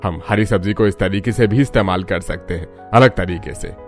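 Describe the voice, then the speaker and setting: Low-voiced elderly father, acted by a man. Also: moderate pace, faint music behind. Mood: agreeable. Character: elderly father of a household, set in his tastes. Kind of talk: Hindi family cartoon voice acting.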